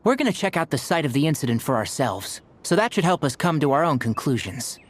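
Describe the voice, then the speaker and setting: A man voicing a gentle, dry-witted young healer who talks calmly and teases lightly. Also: a little high, quick pace. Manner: calm, lightly teasing. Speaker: doctor.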